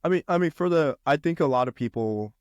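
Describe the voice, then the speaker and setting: A man's voice: fairly high pitch, expressive, fast talker, a little mellow, no room sound, highs cut off. A young man from China, a podcast guest chatting casually about college life, with lots of fillers and restarts. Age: young adult. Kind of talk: casual conversation.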